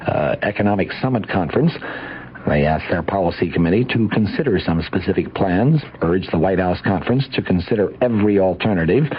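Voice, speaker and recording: A man's voice: lowish voice, husky, muffled recording. An American man reading a scripted news report as a radio newscaster on a vintage broadcast.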